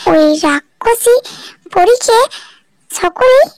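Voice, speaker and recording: A woman's voice: very high voice, expressive, slow. A woman giving scripted narration of a fairy tale as the storyteller.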